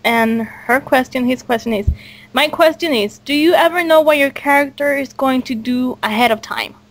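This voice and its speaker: High-pitched young-sounding woman hosting casually. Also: normal speed, poor audio. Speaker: host of a cast interview for a fan audio drama. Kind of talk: casual group chat, reading out listener questions.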